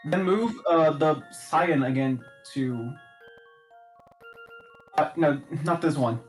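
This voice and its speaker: A man's voice: on the high side, extremely slow, pauses often, faint music behind. A man giving casual step-by-step game directions to a friend, hesitant and thinking aloud. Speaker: co-player coaching puzzle moves.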